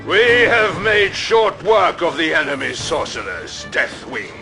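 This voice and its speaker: A man performs a grandiose, severe armored war lord from a sci-fi audio drama. His voice is tense and forceful, with slow, solemn, declamatory phrasing. Faint music plays behind his commands. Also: on the high side, animated, gravelly, exaggerated voice. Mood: grim, rallying. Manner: solemn, declamatory, formal archaic speech.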